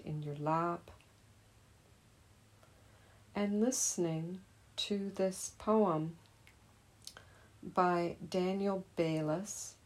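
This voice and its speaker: A woman gently leading a guided meditation as a teacher, in a soothing, unhurried manner. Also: on the low side.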